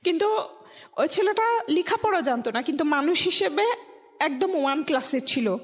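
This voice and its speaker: High-voiced Bangladeshi woman in long reverb. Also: expressive, moderate pace. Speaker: radio show guest. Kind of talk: conversational personal storytelling.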